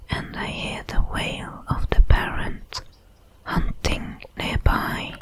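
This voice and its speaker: A woman voicing a calm, watchful alien predator in a breathy whisper, with formal, measured diction for an ASMR audio roleplay. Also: low-quality recording. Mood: calm, detached. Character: many-legged alien predator, calm, watchful, protective, coldly curious.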